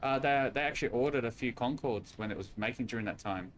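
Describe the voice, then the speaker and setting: A man with a mixed Portuguese and Australian accent, hosting a casual multiplayer chat and talking in halting bursts with restarts. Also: medium pitch, a little hiss or hum. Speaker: streamer host.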